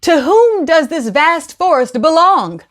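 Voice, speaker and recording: A woman's voice: very high voice, very animated, takes it slowly, pitched above the performer's own voice, heavily acted. A woman voicing a grand king who calls out to his people in a children's storybook reading. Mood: grand, inquiring. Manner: declamatory, calling out. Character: grand king calling out questions to his countrymen. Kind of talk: storybook read-aloud for children.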